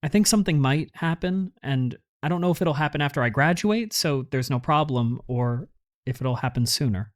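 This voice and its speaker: A man's voice: fairly high pitch, lively intonation, moderate pace, close to an everyday voice. Hesitant young student confessing, played by a man. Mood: guilty, hesitant. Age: young adult. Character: anxious, guilt-ridden university student making confession. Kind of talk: audio drama acting.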